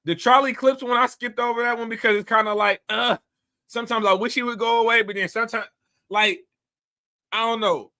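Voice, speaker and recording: Male host, high bright voice, blunt rambling commentary. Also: steady tone, brisk. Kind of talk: casual commentary.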